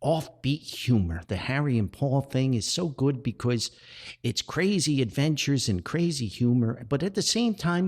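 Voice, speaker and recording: A man voicing a doting elderly mother, chatty, affectionate and gently bossy, in podcast storytelling. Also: mid-range voice, husky, voice pushed up.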